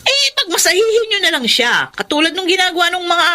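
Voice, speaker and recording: A woman's voice: very high-pitched, very animated, a little fast. A woman playing a nosy, blunt, skeptical older female neighbour in a radio drama, full of exclamations and questions. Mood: skeptical, disapproving.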